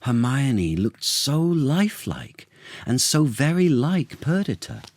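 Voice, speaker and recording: A man, an actor, reading a tale to children as an expressive, theatrical storyteller with dramatic pauses. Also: mid-range voice, no room sound.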